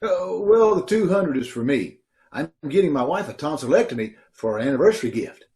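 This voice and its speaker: A man's voice: fairly high pitch, very animated, brisk, darkish tone. A man playing a dim middle-aged unemployed brother in a radio comedy, hesitant and matter-of-fact. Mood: sheepish.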